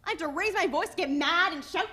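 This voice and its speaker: A woman's voice: very high voice, brisk, pushed and bright. A woman playing an exasperated, sarcastic pregnant teenage girl in a dramatic reading of a stage play. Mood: exasperated, angry. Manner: sarcastic, scolding.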